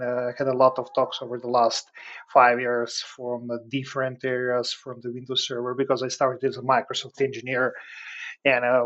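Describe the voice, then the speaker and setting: A man with a Serbian accent talks conversationally, like a guest being interviewed. His pitch sits in the middle for a man. He moves in halting phrases, often pausing on filler sounds, and the audio is slightly dull and lo-fi. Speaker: podcast guest, cloud engineer.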